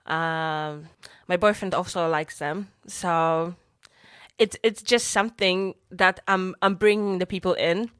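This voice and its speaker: A woman's voice: fairly low pitch, steady tone, takes it slowly, a bit bright, smooth, no echo, clean studio sound. A young woman co-hosting a podcast in casual chat, talking in a chatty way with restarts and repeated words. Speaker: podcast co-host.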